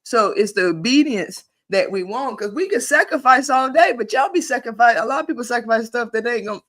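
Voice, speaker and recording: High-voiced, emphatic man hosting a live talk. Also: quick pace. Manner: animated and emphatic, with easy laughs and rhetorical questions; repeats and restarts while building a point, informal and folksy. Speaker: host of a paid spiritual membership group. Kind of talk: casual spiritual talk on a live online session.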